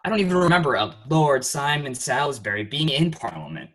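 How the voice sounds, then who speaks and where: Male actor as boozy stage player, raspy, lively swings of pitch, sarcastic, bickering, audio play. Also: fairly quick. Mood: defensive. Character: flippant, hard-drinking male theatre player. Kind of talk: theatrical audio play acting.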